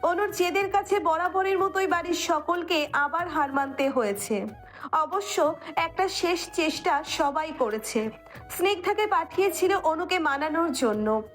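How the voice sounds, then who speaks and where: Female story narrator, high-pitched voice, scripted delivery, light music bed.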